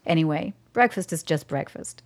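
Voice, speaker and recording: An actress playing a composed, controlling middle-aged female scientist in a radio play, speaking in a measured way. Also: deep voice, lively intonation. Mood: poised. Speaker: actor.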